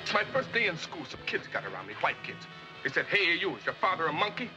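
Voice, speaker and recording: A man performs a bitter, wounded storyteller in a dramatic recitation. The voice sits fairly high and moves quickly. The recording is dull, with clear music behind. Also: very animated.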